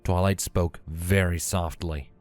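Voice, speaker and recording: A man with a deep voice narrates a story from a script. Faint music runs underneath his reading. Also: steady tone, takes it slowly, a little mellow.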